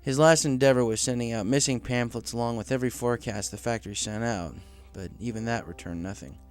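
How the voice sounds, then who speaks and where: A man's voice: mid-range voice, fast, clean tone, faint music behind. A man reading scripted fiction aloud as a story narrator.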